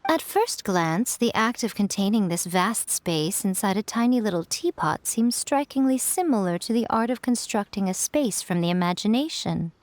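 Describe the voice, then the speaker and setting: A woman playing a self-assured, teasing fox spirit shrine maiden in a video game, with playful, coy and deliberate phrasing. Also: mid-range voice, animated, no echo, lightly acted. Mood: composed, curious. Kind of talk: polished video game character acting. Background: music.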